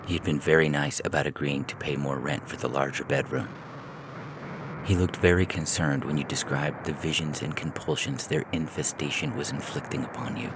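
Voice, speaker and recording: A man reading a scripted short story as narrator, in a measured, deliberate way. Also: rumbling low, expressive, vocal fry, noisy background. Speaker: narrator and podcast host.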